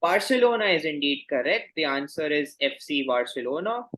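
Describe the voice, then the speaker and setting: A man hosting a quiz, reading questions and announcing results in a courteous, formal, measured way. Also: on the high side, big pitch swings, a bit bright, poor audio.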